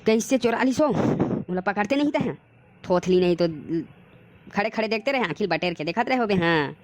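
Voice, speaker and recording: A man's voice: sits high, animated, moderate pace, dry recording. Man playing a grumbling Chhattisgarhi village husband. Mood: scolding. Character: hungry, grumbling village husband in a comic cartoon. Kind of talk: comic cartoon dialogue in dialect.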